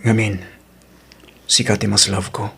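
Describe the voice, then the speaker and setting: Husky Ilocano male suitor played by an actor. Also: lowish voice, little pitch movement, a little slow, fairly bright tone, exaggerated voice. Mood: apologetic. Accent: Filipino, Ilocano. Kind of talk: audio drama acting.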